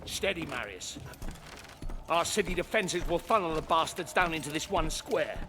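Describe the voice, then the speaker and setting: An actor playing a seasoned, resolute Roman commander in a video game, speaking with grave, emphatic military oratory. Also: male voice, a little high, expressive, moderate pace. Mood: commanding, tense. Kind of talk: dramatic video game acting. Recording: clear background noise of game ambience.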